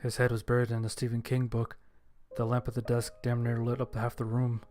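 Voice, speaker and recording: A man's voice: mid-range voice, monotone, fairly quick, a little mellow, lightly acted. A man playing an injured survivor who narrates his own story in scripted horror audio drama style. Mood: somber storytelling. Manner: scripted, descriptive storytelling with casual profanity.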